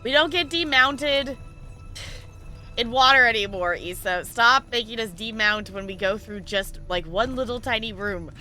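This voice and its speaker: Bright, unhurried woman streaming a game. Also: fairly high pitch, soft background music. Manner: conversational, explains game as she plays. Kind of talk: casual live gameplay commentary.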